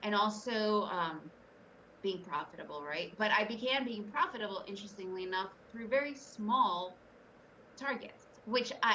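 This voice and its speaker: A woman's voice: mid-range voice, pushed and bright, far from the mic, a little hiss or hum, low-quality recording. A middle-aged woman, born in Russia and raised in the US, candidly telling her story as a guest on an interview podcast. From Soviet Union, raised in the US. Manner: earnest, candid, emphatic storytelling. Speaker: guest trader.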